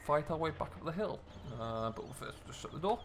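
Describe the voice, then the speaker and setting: A man's voice: a little high, expressive, fairly quick, noisy background. A man giving casual, chatty commentary as host of a role-play gaming video, thinking aloud in an easygoing way.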